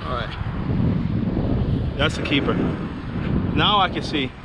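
A man giving excited, slangy running commentary in casual chat while fishing. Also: a little high, slow pace. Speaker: fishing vlogger. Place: outdoors on a bridge over the sea. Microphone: head or chest-mounted action camera. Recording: loud wind and water noise.

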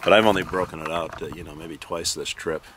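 A man in his forties at an outdoor lakeside campsite, casually walking through his camping gear in a relaxed, list-like way with lots of "uh" and "you know". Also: very deep voice, fairly quick, noisy background. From Maine, US. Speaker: canoe trip vlogger. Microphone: handheld camera.

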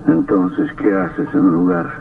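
Grieving young gentleman, radio drama, low creaky voice, formal and mournful, tinny recording. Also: big pitch swings, measured pace, recorded from a distance, noisy background, exaggerated voice. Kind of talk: old radio drama acting.

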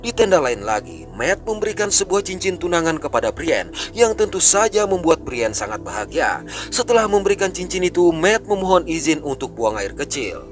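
A man narrating a film recap in a brisk, scripted storytelling way. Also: fairly high pitch, big pitch swings, faint music behind. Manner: brisk storytelling, rising and falling delivery.

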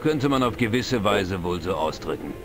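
An actor playing an older, knowledgeable, wry pub regular in an adventure game, explaining things in a dry, measured way. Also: male voice, lowish voice, animated, lightly acted. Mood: wry, informative. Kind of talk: dubbed adventure game dialogue. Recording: music behind the voice. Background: game music.

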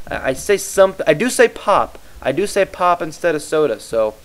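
A man's voice: a little high, big pitch swings, a little fast, fairly bright tone. A tired young man from the Midwest rambling casually as he commentates over gameplay, often losing his train of thought. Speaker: YouTube gaming commentator.

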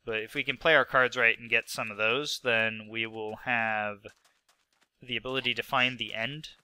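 A man's voice: medium pitch, steady tone, normal speed, bright, forceful tone, smooth, no room sound. Stuffy-nosed man narrating a game. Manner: rambling, self-mocking, lots of fillers. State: sick with a stuffy nose. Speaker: gaming video host. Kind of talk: casual gameplay commentary.

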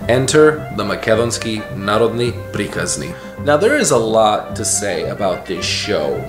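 Enthusiastic vlogger man in a roomy living room. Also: mid-range voice. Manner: lively, enthusiastic storyteller. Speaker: video host. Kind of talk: casual explainer vlog. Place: living room, on a couch indoors.

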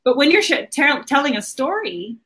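A woman's voice: high-pitched, sing-song, normal speed, bright, forceful tone. A woman in her thirties hosting a livestream, chatting casually and informally. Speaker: host of a marketing livestream. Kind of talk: casual conversational interview. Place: small home office indoors.

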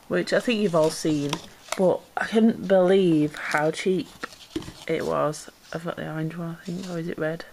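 A woman's voice: deep voice, noisy background. A woman chatting casually as she unboxes pet supplies at home, a little congested from a cold and rambling with ums. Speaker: pet vlogger. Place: indoors at home, camera pointed down into a box.

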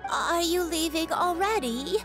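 A woman voicing a dreamy, forgetful, gentle old granny in a video game, wistful and rambling. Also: very high voice, a little slow, over music.

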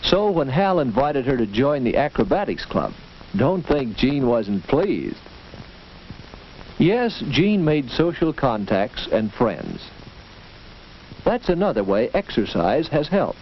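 A dull, faintly noisy and clicking vintage film soundtrack carries an American man's voice. He narrates in the scripted style of a 1940s school film, instructive and measured. His mid-pitched voice rises and falls in a lively way as he tells the story.

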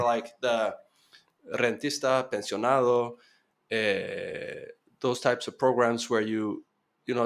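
A man in his thirties, a podcast guest, speaking earnestly in casual interview talk with frequent restarts and a slight Venezuelan Spanish tinge. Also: mid-range voice.

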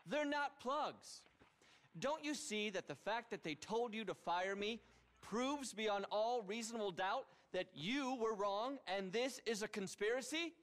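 An actor playing an eccentric, egotistical, paranoid tech billionaire with a pompous keynote-style delivery in a video game. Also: male voice, sits high, lively intonation, measured pace, a bit bright. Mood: agitated. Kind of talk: video game cutscene acting. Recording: long reverb of a cavernous hall.

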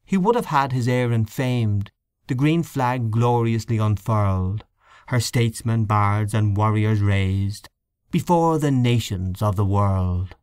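A man's voice: lowish voice, measured pace, a little mellow, clear, resonant tone, no echo, close to an everyday voice. A man voicing a solemn loyalist who recites a mournful poem in declamatory style, in an audiobook reading.

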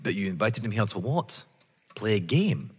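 A man acting in an audio drama as a politely persistent police inspector, formal and probing, with a husky voice. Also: lowish voice, sing-song, moderate pace. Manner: formal, deferential but probing. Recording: echoey hall-like reverb.

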